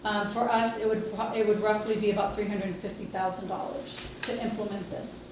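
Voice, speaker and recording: A woman giving an informal report at a board meeting, speaking haltingly with many pauses. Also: medium pitch, flat delivery. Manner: hesitant, halting delivery with long pauses. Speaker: healthcare district executive reporting to board. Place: indoor public meeting room. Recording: echoey room, distant mic, faint noise.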